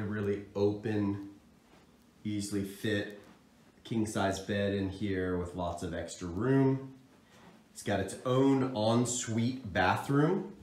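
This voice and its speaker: A man's voice: fairly low pitch, a little mellow. A man in his thirties, a real estate agent, narrating a walk-through home tour on a handheld phone indoors. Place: indoors, hallway and rooms of an apartment. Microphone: phone held at arm's length. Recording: echoey rooms with background noise.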